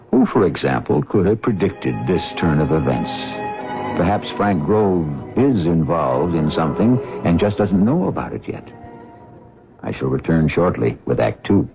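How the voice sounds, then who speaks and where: A man hosting a 1970s radio mystery drama, speaking in a measured, ominous storytelling manner. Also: low-pitched. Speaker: radio drama host and narrator. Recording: old lo-fi radio broadcast with music.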